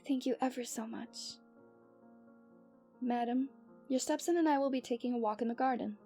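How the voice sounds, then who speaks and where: Woman acting a mellow, genteel fairytale princess. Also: high-pitched, normal speed, soft background music, lightly acted. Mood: courtly, delighted, then polite, slyly menacing. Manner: formal, genteel fairytale phrasing with breathy sighs. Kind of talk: scripted romantic ASMR roleplay.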